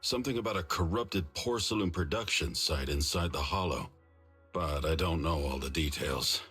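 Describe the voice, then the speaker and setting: An actor playing a big, calm, easygoing male disciple in a video game, with a deep, husky voice. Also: moderate pace, faint music behind, exaggerated voice. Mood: matter-of-fact.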